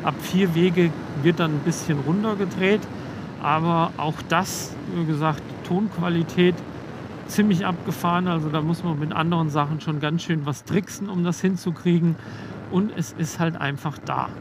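Motorcyclist talking through a helmet mic. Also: male voice, on the high side, normal speed. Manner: relaxed and explanatory, with frequent fillers. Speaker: motovlogger. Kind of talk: casual motovlog talk while riding. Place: on a motorcycle riding along country roads outdoors. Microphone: helmet intercom mic. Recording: helmet mic with road noise. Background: road and vehicle noise.

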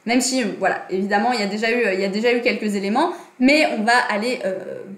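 A woman's voice: mid-range voice, fast talker. Chatty young woman streaming from an echoey room. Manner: chatty, many fillers and self-corrections. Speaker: livestream host. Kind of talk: casual livestream talk on design and politics. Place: small room indoors.

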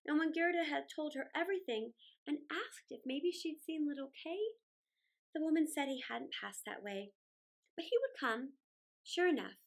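A middle-aged woman reading a children's story aloud indoors, with deliberate, dramatic phrasing and drawn-out words. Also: high voice, animated, gravelly. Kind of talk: expressive read-aloud storytelling. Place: small indoor room, plain wall.

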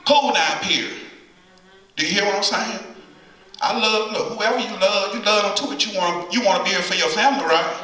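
Middle-aged preacher, bright voice, echoey church. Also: male voice, high voice, fairly quick. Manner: folksy, repeats phrases for emphasis. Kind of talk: conversational sermon with call and response. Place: church sanctuary at the pulpit. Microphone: far camera, pulpit mic. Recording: echoey church from far camera.

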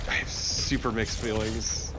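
A man's voice: fairly low pitch, flat delivery, unhurried, noisy background. A man co-commentating on a video game in casual chat. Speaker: let's play co-commentator.

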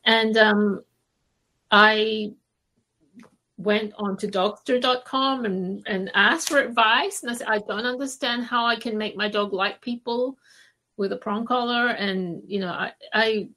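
A woman from Malaysia speaking as a podcast guest, telling stories casually with hesitant, conversational pauses. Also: a little high, sharp tone. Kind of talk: casual interview storytelling.

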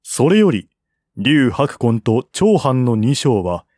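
A man narrating a historical novel in a measured, formal reading style. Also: medium pitch, big pitch swings, darkish tone, dry recording, clean studio sound. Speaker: audiobook narrator.

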